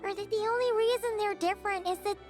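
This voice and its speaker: Paimon, squeaky excitable tiny fairy. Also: female voice, fast, over music. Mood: thoughtful. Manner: refers to self in third person, exclamatory. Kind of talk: video game character dialogue.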